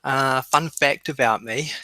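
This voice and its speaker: A man's voice: mid-range voice, measured pace, bright, forceful tone, no room sound. A man, a technical lead, introducing himself modestly on a video call. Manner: modest, self-deprecating.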